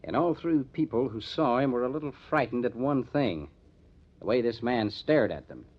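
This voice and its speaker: An actor playing a hard-nosed, determined police detective in an old-time radio crime drama, speaking in a measured, emphatic way. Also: male voice, medium pitch, expressive, natural voice. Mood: grimly determined. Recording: dull lo-fi old radio recording.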